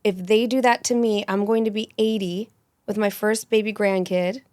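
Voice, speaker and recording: A woman in her forties, a med spa injector, telling stories animatedly and quickly in a casual podcast chat. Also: medium pitch, no room sound, studio quality. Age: 40s. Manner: animated, rapid storytelling.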